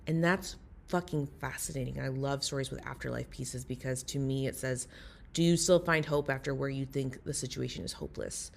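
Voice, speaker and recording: Low-voiced woman, excited fan message. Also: a little fast, vocal fry. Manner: excited, earnest. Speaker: listener sending a voice message. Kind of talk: enthusiastic fan commentary.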